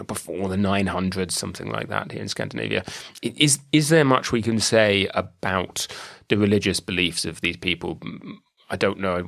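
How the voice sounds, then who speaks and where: British guy hosting a history podcast, lowish gravelly voice, measured and curious. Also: a bit bright. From British Isles. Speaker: podcast host. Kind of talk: scripted intro then interview.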